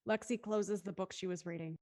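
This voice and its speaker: A woman's voice: medium pitch, steady tone, fairly quick, a little mellow, no room sound, clean studio sound, close to an everyday voice. A woman narrating the scene directions of a scripted drama reading.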